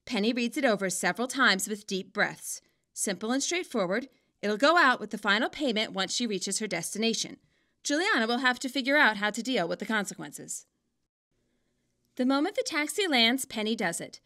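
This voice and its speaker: Bright, lively woman narrator with wry humour. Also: on the high side. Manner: wry, playful fangirl commentary. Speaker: fan fiction author and video narrator. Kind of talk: scripted narration, commentary and storytelling.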